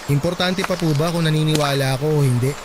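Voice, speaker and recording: A man's voice: fairly high pitch, steady tone, fast talker, heavy background noise, close to an everyday voice. A man playing a resentful young adult son in a Tagalog radio drama, curtly polite on the surface and pointedly sarcastic. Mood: confronting, sarcastic. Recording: loud music bed under dialogue.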